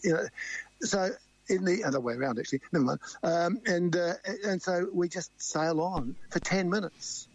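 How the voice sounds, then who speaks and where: A man with a loud voice, telling a vivid race story in a radio interview. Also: high voice, normal speed, rough recording. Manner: animated, vivid storytelling with quoted crew talk. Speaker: sailing crew member guest.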